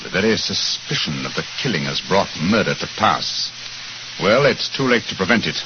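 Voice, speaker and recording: An actor playing a shrewd, composed English gentleman detective in 1940s radio style, with a measured, formal, authoritative delivery. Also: low voice, very animated. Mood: grave, decisive. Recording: noisy muffled 1940s radio recording.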